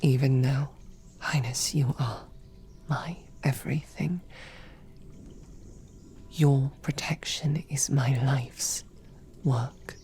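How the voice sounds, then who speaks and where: A woman's voice: a bass voice, fairly even intonation, slow pace, long pauses, soft background music, exaggerated voice. A woman voicing a devoted, whispering royal knight. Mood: earnest, pleading. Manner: soft, intimate, earnest questioning. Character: devoted female royal knight, protective, snarky. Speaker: knight in an audio roleplay. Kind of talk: whispered audio roleplay.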